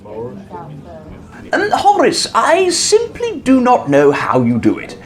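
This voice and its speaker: A man acts out a fussy English village vicar for a comic radio play. He is effusive and genteel, with titters, slow drawn-out phrases and a pitch that swoops wildly. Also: huge pitch swings, exaggerated voice. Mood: admiring. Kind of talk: comic radio drama acting.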